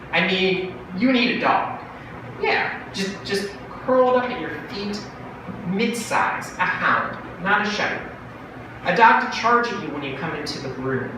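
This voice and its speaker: A man voicing a fast-talking, wheedling brother pitching a bargain in a dramatic monologue from a short story. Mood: eager, cajoling. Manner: salesman-like, cajoling, animated. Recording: very echoey room, distant mic, noisy.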